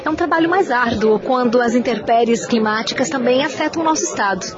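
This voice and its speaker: A woman radio host interviews guests at a live event. Her voice sits a little high and she questions formally and steadily. The sound is dull and lo-fi, with a crowd clearly audible behind her. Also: animated, fairly quick.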